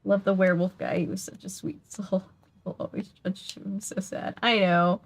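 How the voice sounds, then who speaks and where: A woman's voice: medium pitch, steady tone, normal speed. A woman streaming a video game, chatting casually and rambling as she plays. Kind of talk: casual chat while gaming.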